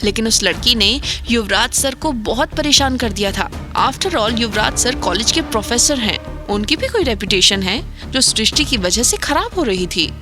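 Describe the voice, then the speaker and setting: A woman's voice: on the high side, animated, normal speed, few pauses, over music, natural voice. A woman voicing a teasing young college guy. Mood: earnest. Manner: teasing, chatty storyteller. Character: playful young male college student, teasing. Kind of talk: Hindi audio drama acting.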